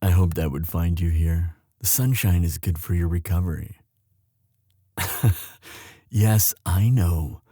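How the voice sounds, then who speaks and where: A man playing a lovestruck young prince in an intimate audio roleplay, tender and protective, with a halting, emotive delivery. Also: sits low, dark tone, slightly rough, no room sound, studio quality, exaggerated voice. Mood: calm, affectionate. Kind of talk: boyfriend ASMR audio roleplay drama.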